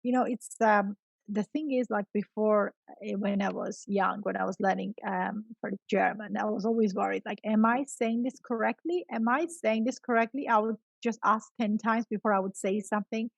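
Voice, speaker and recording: A middle-aged woman speaks as a guest teacher in a conversational interview. Her medium-pitched voice has a gravelly creak, and she stresses and repeats her key points. The sound is dry and close. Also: steady tone, normal speed, dry recording, rough recording. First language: Georgian. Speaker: guest, experienced English teacher.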